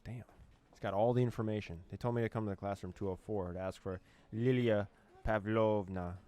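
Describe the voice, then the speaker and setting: A man with a very low, deep voice reads a horror visual novel aloud, translating the characters' lines. He narrates dramatically and adds amused little asides of his own. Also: fairly even intonation, a little fast, dark tone. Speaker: gaming YouTuber.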